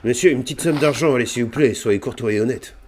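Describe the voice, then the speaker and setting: A man's voice: mid-range voice, quick pace, a crowd in the background, lightly acted. A man playing a whining, scheming old priest with a bad back in a roleplay game, groaning and pleading. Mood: wheedling, weary.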